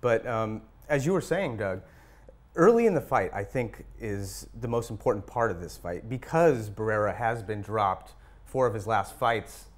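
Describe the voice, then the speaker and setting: A man discussing sports casually as a panelist, choosing his words in a slightly halting, tentative way. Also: on the low side, animated. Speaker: boxing panelist.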